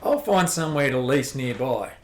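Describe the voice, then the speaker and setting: A man's voice: mid-range voice, lively intonation, normal speed, natural voice. A man playing a blunt, terse male club partner in an amateur radio play. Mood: gruff. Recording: roomy echo with clicks and noise.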